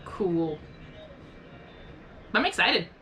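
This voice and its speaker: Bright-voiced lady rambling through a live reaction, kinda fumbling for words. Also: fairly low pitch, very animated, very slow, faint music behind. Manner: chatty, rambling, searching for words. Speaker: reaction channel host. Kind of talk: casual live reaction commentary.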